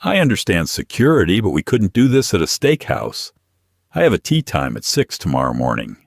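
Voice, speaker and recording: A man's voice: sits low, wildly swooping pitch, brisk, gravelly, breathy edge, no echo, clean studio sound, heavily acted. Man putting on a pompous corrupt judge. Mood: indignant complaint. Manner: complaining, smug, blustering. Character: pompous corrupt male judge, silver-haired, falsely jovial.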